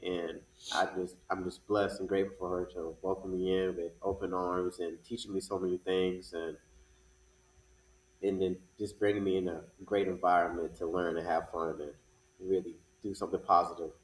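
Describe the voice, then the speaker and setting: A man's voice: low-pitched, level tone, normal speed. A man, a stage actor, speaking casually as an interview guest. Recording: echoey room.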